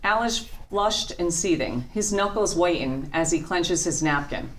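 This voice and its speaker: Low-voiced woman narrating a script. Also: animated, unhurried, rough recording. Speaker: narrator reading stage directions. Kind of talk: scripted narration at a table read.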